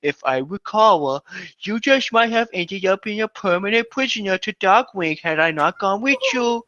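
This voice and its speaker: A man with a bright, forceful voice reads fan fiction aloud as a co-host. He reads like an amateur, putting on voices for the dialogue. The microphone is dull and lo-fi. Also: high-pitched, expressive, moderate pace, dry recording.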